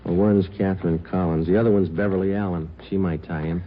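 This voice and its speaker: An actor playing a terse, matter-of-fact police sergeant who also narrates, in the clipped style of 1950s radio drama. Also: male voice, low voice, steady tone, a little fast, a little hiss or hum, lightly acted. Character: terse, businesslike male police sergeant, a detective. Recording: dull vintage radio recording with music.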